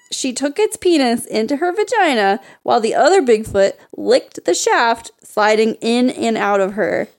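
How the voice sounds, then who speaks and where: A woman's voice: fairly high pitch, animated, unhurried, gravelly. A woman co-hosting a podcast, reading a racy story aloud and breaking off for giggly, profane banter. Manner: giggly, profane, reads then riffs. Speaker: podcast co-host reading stories.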